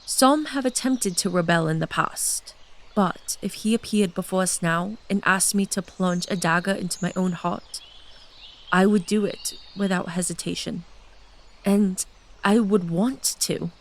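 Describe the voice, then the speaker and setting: An actress playing a seductive, scheming blood queen who has lived hundreds of lives, speaking in a measured, intimate way in a fantasy audio drama. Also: fairly low pitch. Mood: earnest. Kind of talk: full cast audio drama.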